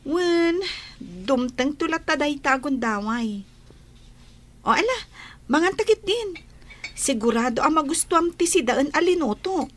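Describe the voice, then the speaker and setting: A woman's voice: high voice, animated, unhurried, pauses often. An actress playing a cheerful, teasing, ambitious young woman with an Ilocano accent in radio drama. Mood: friendly, eager.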